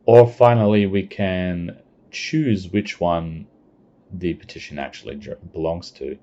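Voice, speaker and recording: A man giving a plain, step-by-step screencast walkthrough of software. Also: low-pitched, slight background noise. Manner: plain step-by-step explaining, unhurried. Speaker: software demonstrator. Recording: dull lo-fi recording.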